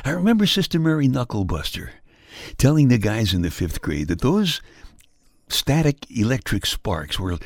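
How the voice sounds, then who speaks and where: A man's voice: lowish voice, no room sound, clean studio sound. A man with a Brooklyn accent giving a wry, scripted comic monologue, chuckling at his own jokes. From Brooklyn, New York. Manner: wry deadpan storytelling with chuckles. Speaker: radio humor host.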